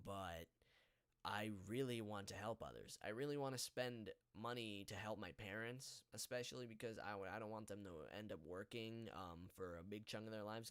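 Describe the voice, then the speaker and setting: A teenage male high school student hosting a casual podcast, talking in an earnest, halting, rambling way. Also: smooth, no room sound. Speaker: podcast host. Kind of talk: casual live podcast chat.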